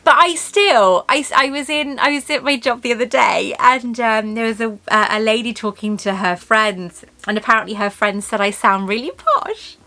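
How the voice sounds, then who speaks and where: A Welsh woman chats away as the host of her own unboxing vlog. Her voice is bright and fairly high, and it rings with a forceful edge. She rambles and gushes as she goes, and she laughs often. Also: lively intonation, moderate pace, few pauses. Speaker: YouTube host. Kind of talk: casual chatty unboxing vlog.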